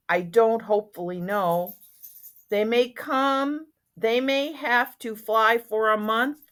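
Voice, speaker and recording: A woman voicing a small, fussy male forest guardian who scolds in a dramatic read-aloud. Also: a little high. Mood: pleading.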